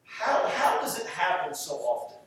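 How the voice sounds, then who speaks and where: A man with a Southern US accent preaching a sermon emphatically, repeating phrases and pausing for effect. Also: on the high side, big pitch swings. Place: large echoey room, preaching to a congregation. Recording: echoey room, distant mic, faint noise.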